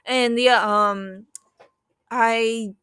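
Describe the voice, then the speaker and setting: A woman's voice: on the high side, steady tone, very slow, fairly bright tone. A young woman telling her own story as a podcast guest in a casual, chatty way, giggling as she talks. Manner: chatty, giggles while talking.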